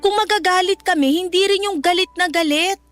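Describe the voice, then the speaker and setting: A woman's voice: extremely high pitch, brisk, a bit bright, faint music behind. A woman playing a protective young widowed mother in a Filipino radio drama, a respectful daughter who is polite but insistent and pleading. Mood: firm, reasoning.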